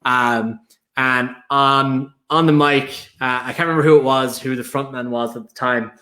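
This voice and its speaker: A man's voice: mid-range voice, steady tone, normal speed, bright, forceful tone. An Irish man hosting a casual live stream, telling stories with lots of fillers and restarts. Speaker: host.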